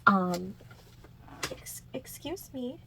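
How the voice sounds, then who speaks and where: A woman's voice: fairly high pitch, sing-song, very slow, vocal fry, noisy background. A woman chatting casually in a writing vlog, a bit congested and nasal, with frequent fillers and little laughs. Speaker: writing vlogger.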